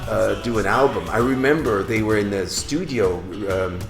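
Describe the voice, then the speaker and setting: A man's voice: fairly low pitch, normal speed, gravelly. Man, grew up in Switzerland, chatty storyteller, echoey room, guitar in background. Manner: animated, imitates sounds and voices while telling stories. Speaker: singer-songwriter guest. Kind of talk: casual storytelling interview.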